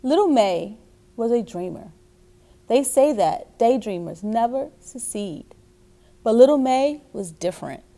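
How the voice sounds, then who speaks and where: A woman reading a children's story aloud in an expressive way, speaking directly to young listeners. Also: on the high side, a little slow. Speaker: story time reader. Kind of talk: reading a children's picture book aloud with asides.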